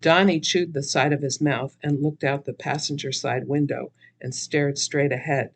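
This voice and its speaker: A woman's voice: low voice, fairly even intonation, moderate pace. A woman author narrating her own humorous story dramatically, with theatrical expression.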